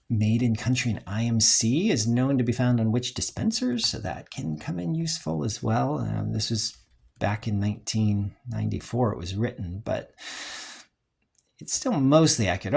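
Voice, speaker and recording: A man's voice: lowish voice, creaky, slightly rough. A man giving a casual, unscripted review in a rambling, hesitant way, as the host of a collecting video.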